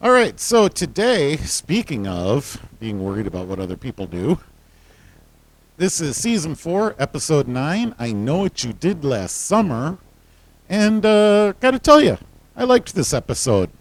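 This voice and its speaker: A man co-hosting a casual podcast. His voice is full, gravelly and carries loudly, and his pitch moves around a lot as he talks. He laughs easily. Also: a little slow, dry recording, rough recording. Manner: loud, carrying voice; animated, laughs often. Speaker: podcast co-host. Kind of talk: casual chat.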